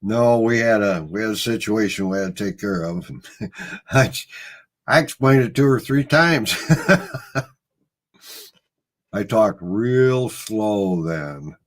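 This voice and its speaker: A man's voice: lowish voice, animated, slow, pauses often. A middle-aged man with a Midwestern accent, hosting a casual live chat and telling stories in a rambling, easygoing way. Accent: Midwestern American. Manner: easygoing, rambling storyteller, chuckles often. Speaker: host.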